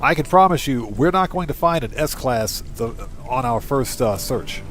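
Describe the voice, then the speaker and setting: A man's voice: mid-range voice, animated, measured pace, fairly bright tone, soft background music. A man giving casual live game commentary, thinking aloud step by step and chatting with his viewers. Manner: talks to himself step by step and addresses chat. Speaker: game streamer, host.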